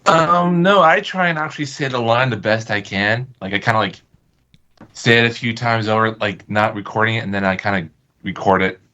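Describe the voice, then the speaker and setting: A man's voice: on the low side, fairly quick, bright, forceful tone. A man in a casual group chat, explaining things in a hesitant, informal way, over dull lo-fi call audio. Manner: hesitant, explanatory, repeats kinda. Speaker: amateur voice actor.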